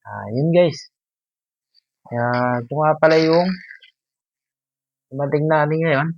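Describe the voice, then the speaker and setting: A man with a Filipino accent hosting a casual unboxing vlog, explaining things in halting, piecemeal phrases. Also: a little high, muffled recording. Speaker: YouTube host, product affiliate.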